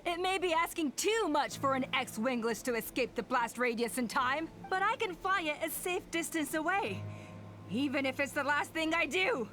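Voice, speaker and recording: Very high, proud young tribe member. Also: female voice, expressive, moderate pace. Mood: stern, self-sacrificing. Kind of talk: dramatic video game voice acting. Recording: game stream with loud music. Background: loud game music.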